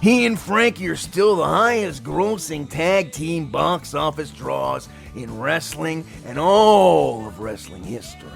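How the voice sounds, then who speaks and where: A man voicing a hyped-up, boastful macho pro wrestler narrating an audio play, gravelly, with emphatic interjections. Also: a little high, expressive, slow, few pauses, faint music behind, full character voice. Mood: bombastic, gleeful. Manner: punctuates sentences with emphatic interjections. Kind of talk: exaggerated wrestling-promo storytelling.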